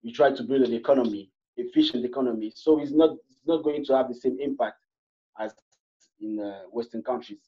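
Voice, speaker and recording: A man from Cameroon, a guest in a panel discussion, who talks in a halting way with many fillers and restarts. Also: mid-range voice, mellow, husky. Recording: muffled online call audio.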